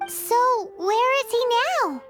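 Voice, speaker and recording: A woman's voice: very high-pitched, expressive, takes it slowly, music in the background, full character voice. A woman voicing a tiny, cheeky, childlike fairy companion in a video game, bratty and exclamatory. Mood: questioning. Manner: refers to herself in third person, exclamatory, bratty. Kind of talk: video game character acting.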